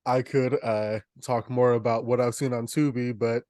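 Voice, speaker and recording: A man's voice: medium pitch, steady tone, normal speed, darkish tone, dry recording, studio quality. A man co-hosting a podcast, talking in casual, conversational chat. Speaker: podcast co-host.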